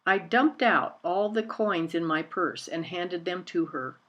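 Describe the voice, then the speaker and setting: A woman's voice: lowish voice, unhurried, fairly bright tone, muffled recording. Woman reading a storybook aloud. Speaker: picture book reader. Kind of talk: scripted storybook narration, read aloud.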